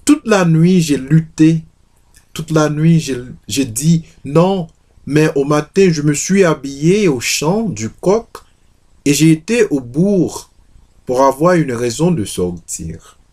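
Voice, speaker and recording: A man's voice: fairly high pitch, lively intonation, slow, darkish tone. A man voicing an earnest, modest young peasant woman in a storybook reading, hesitant and repeating her words.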